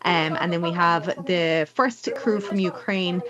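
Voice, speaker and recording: A woman's voice: lowish voice, steady tone, a little slow. A woman commentator reading out race times in a matter-of-fact way. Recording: muffled audio with crowd noise.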